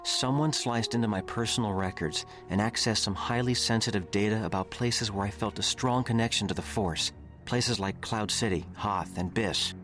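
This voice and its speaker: A man voicing a calm, earnest Jedi master giving formal briefings in a video game. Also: lowish voice, normal speed, over music, natural voice. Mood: grave.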